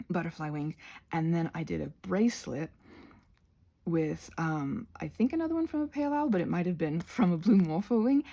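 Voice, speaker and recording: Crafty goblin female performer, ASMR ramble: husky, crackly, somewhat low, chatty and halting, prone to tangents. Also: mellow, heavily acted.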